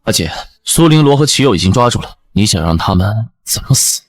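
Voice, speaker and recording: A man voicing a devoted, brooding young king in a period drama, intense and emotional, with a husky tone. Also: lowish voice, sing-song, a little fast. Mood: protective.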